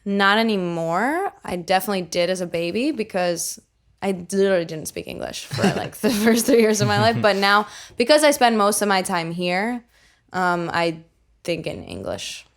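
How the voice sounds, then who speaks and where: A woman's voice: mid-range voice, animated, moderate pace. A young woman from the United States, a musician chatting as an interview guest, laughing easily and saying "like" often. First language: Greek. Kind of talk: casual interview chat.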